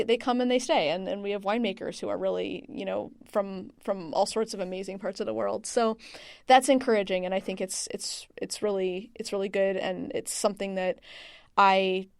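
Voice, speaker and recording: Clear-voiced woman chatting as interview guest. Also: medium pitch, darkish tone, no echo, clean studio sound. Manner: chatty, rambling, frequent "like" and "you know". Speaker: interview guest, wine region marketing manager. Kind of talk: casual conversational interview answers.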